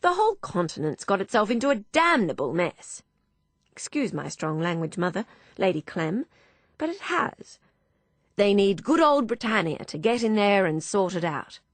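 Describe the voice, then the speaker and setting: A woman's voice: medium pitch, very animated, normal speed, no echo. A woman voicing a hearty young army major who speaks in bluff, emphatic declarations.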